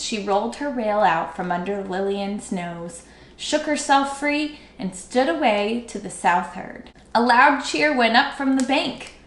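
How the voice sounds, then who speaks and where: A woman reading a children's book aloud expressively, with dramatic, drawn-out emphasis. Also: fairly high pitch, a bit bright. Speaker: storybook read-aloud teacher. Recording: echoey room.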